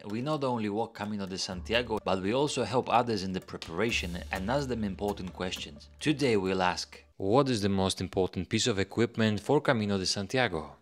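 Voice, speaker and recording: A man's voice: on the low side, brisk, hardly any pauses. A man hosting the channel, giving a plain intro and asking direct interview questions.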